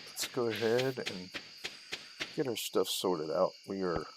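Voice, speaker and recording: A man's voice: on the low side, expressive, measured pace, background noise. A man giving casual commentary as he plays a video game, narrating his own actions in short clipped phrases. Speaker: gaming video host.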